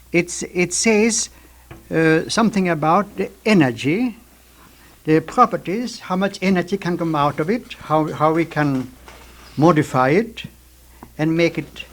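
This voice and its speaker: An older man, explaining ideas in an emphatic, lecturing way as a scientist guest on a radio discussion. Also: on the high side. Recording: 1950s archival radio recording.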